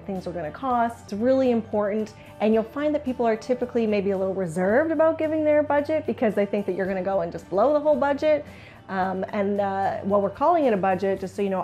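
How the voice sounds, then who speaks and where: A woman's voice: medium pitch, dark tone. Canadian woman coaching in a chatty way. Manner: chatty, candid, acts out client remarks. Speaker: business coach for interior designers. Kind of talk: informal instructional video to camera.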